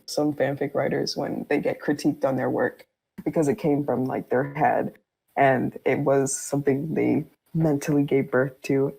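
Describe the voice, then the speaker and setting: A woman with a low-pitched voice chats on a podcast as a guest. She is candid and informal, talking in a conversational way and readily breaking into laughter. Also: creaky, mellow, breathy edge, rough recording. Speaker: fan fiction writer, podcast guest.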